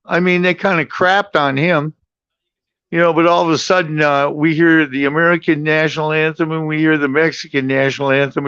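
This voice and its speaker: Man, panel debate, blunt, insistent, smooth voice, dull dry sound. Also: fairly high pitch, fairly bright tone, dry recording. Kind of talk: heated casual debate. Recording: dull lo-fi line.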